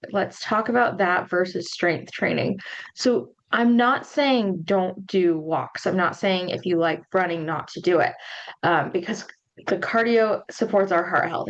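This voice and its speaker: Woman in her thirties, casual solo podcast host, a bit hoarse, chatty with ums, slightly dull lo-fi sound. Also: mid-range voice. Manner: chatty, conversational, frequent ums and likes. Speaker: podcast host and postpartum nutrition coach.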